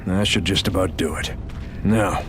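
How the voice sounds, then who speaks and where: An actor playing a weary, caring middle-aged slave doctor in a video game, measured and gently scolding. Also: male voice, deep voice. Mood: weary, counseling. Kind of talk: dramatic video game voice acting. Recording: studio voice under loud game music.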